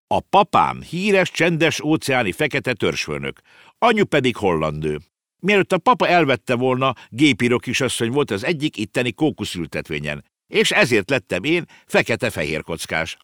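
A man voicing a feisty, indignant little girl in a children's storybook, loud and quick to get upset. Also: medium pitch, huge pitch swings, no room sound, studio quality, voice pushed up. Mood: chatty. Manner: indignant, loud, tearful outbursts.

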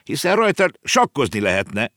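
A man's voice: medium pitch, sing-song, sharp tone, no room sound, clean studio sound, voice pushed up. A man voicing a bold, frank young boy in a children's storybook, curious and full of exclamations.

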